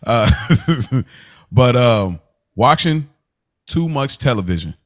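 A man's voice: mid-range voice, very animated, slow. American man hosting a casual review podcast. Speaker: podcast host.

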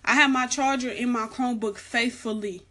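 A young woman gives casual advice in a chatty vlog. She is soft-spoken, has a light, girlish voice and keeps repeating her warnings. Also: on the high side, fairly even intonation, fairly quick. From Arkansas, United States. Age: late teens. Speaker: vlogger giving school advice.

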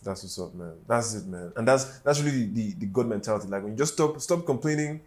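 Young dude hosting, chill and slangy, just vibing. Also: male voice, lowish voice, lively intonation, fast talker, a little mellow. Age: young adult. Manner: laid-back, slangy, lots of "mm" backchannels. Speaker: podcast host. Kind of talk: casual chat.

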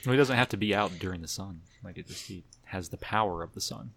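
A man's voice: sits low, fast talker, gravelly, a bit bright. A man co-hosting a podcast, talking informally and a bit hesitantly, with opinions on what he likes. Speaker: podcast co-host. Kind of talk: casual fan discussion of superhero TV.

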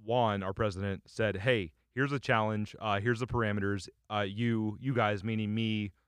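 Smooth-voiced man in easy banter. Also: lowish voice, steady tone, normal speed, no room sound. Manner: joking, self-deprecating. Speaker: video producer. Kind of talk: casual group chat.

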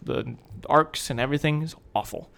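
A man's voice: medium pitch, normal speed, creaky, a bit bright. A young American man co-hosting a podcast, chatting casually in a slangy, opinionated and blunt way. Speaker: podcast co-host. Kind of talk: casual chat.

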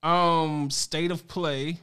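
A man's voice: a little high, steady tone, extremely slow, a bit bright, clean tone. A man hosting a casual podcast chat, reading through film titles and laughing often. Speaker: podcast host.

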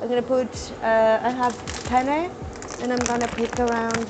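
A young woman with a self-described squeaky voice chatting casually in her home kitchen while cooking, rambling with fillers and restarts and trying to talk slowly. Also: little pitch movement, noisy background. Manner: deliberately talking slowly. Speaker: cooking vlogger.

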